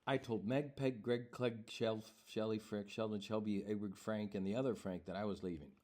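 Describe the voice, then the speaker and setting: Low-voiced man, playfully reading a picture book. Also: steady tone, normal speed, a little mellow, slight background noise. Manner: rambling, playful, goofy. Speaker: reader of a children's picture book. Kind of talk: informal read-aloud with ad-libbed asides.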